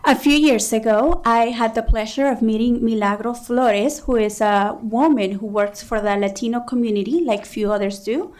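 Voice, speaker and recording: Woman interpreter, slightly high voice, steady formal consecutive interpretation. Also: normal speed, hardly any pauses. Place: county council chamber.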